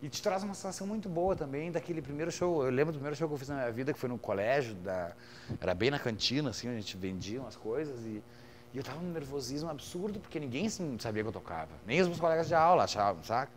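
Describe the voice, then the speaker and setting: Relaxed gaúcho man telling stories. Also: medium pitch, quick pace, a little hiss or hum. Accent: gaúcho Brazilian Portuguese. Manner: relaxed, rambling, with frequent fillers like "cara" and "saca". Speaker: podcast guest, musician. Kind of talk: casual conversational storytelling.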